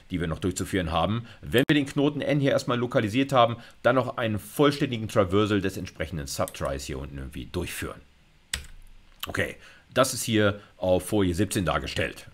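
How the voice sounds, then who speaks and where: A middle-aged man speaks in a fairly low voice. He lectures like a university teacher, taking things step by step. His explanation is informal, dotted with check-in words and English technical terms. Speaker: university computer science lecturer. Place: indoors, recorded video lecture.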